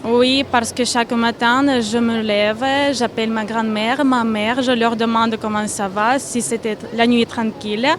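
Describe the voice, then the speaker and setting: A woman's voice: a little high, level tone, a little slow, hardly any pauses, noisy background. A woman from Ukraine with a Ukrainian accent, answering earnestly and searching for words in French. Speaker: interviewee. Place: outdoor fair stand.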